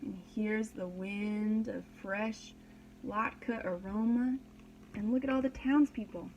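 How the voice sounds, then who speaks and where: A woman's voice: mid-range voice, steady tone, takes it slowly, darkish tone, muffled recording, a little hiss or hum. A woman reading a children's story aloud as a preschool teacher, dramatically and expressively, with simple explanations for young listeners.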